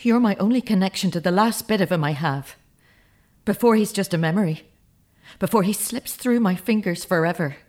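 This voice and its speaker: A woman's voice: medium pitch, fairly quick, a little mellow, lightly acted. An actress playing a grieving, stubborn middle-aged mother in a naturalistic radio drama, guarded and cutting. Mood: anguished, raw.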